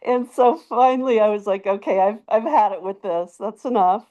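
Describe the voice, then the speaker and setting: A woman of average pitch speaks as a participant sharing a testimonial. She tells it like a casual story, in conversational phrases with asides and emphatic repeats. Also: moderate pace, warm tone, muffled recording. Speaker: webinar participant giving a testimonial.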